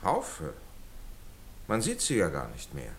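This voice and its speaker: An actor playing a genial middle-aged gentleman in a radio drama, polite and measured in his manner. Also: sits low, huge pitch swings, heavily acted. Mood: courteous, reflective. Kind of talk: naturalistic radio drama acting.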